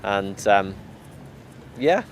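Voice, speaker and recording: A young man, giving a thoughtful, halting personal account in an interview, with frequent restarts. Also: on the low side, very animated. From Northern Ireland. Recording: clear outdoor traffic and water noise.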